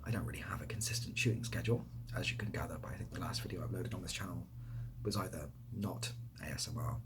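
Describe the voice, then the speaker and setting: A man chatting casually and softly, often nearly whispering, in a rambling, self-deprecating way as he answers questions. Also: low voice, fast talker, background noise. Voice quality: soft, breathy, often near whisper. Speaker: ASMR video creator. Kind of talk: softly spoken casual Q&A chat. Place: indoors next to a window.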